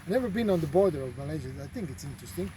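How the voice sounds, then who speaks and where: Man, high, soft-toned, halting casual delivery. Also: lively intonation, slight background noise. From Croatia. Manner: hesitant, many fillers and repeated words. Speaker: traveler. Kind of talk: casual travel talk. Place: outdoors at a golf resort.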